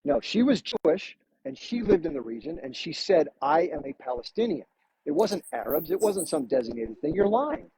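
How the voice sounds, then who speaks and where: A man hosting a live stream, arguing forcefully with a caller and talking over him. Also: on the high side, big pitch swings, normal speed. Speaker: livestream host. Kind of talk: heated live debate. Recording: muffled live stream with background noise.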